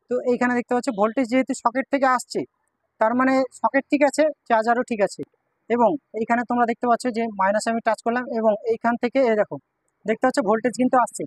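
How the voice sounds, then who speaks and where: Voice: mid-range voice, no room sound, poor audio. A person giving a step-by-step hands-on repair demonstration, repeatedly pointing things out to viewers. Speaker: electronics repair tutorial presenter.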